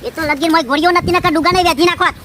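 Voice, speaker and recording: Man as squeaky, aggrieved would-be village gangster. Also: steady tone, racing, sharp tone, slight background noise. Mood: aggrieved, scheming. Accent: rural North Gujarati. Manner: complaining, scolding. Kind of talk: cartoon comedy dialogue.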